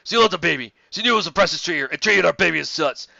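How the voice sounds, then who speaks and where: A man's voice: a little high, lively intonation, very fast, vocal fry, sharp tone, no room sound, dull audio, full character voice. A man voicing a sorrowful, self-blaming husband who confides in a friend, in a dramatic fan fiction reading.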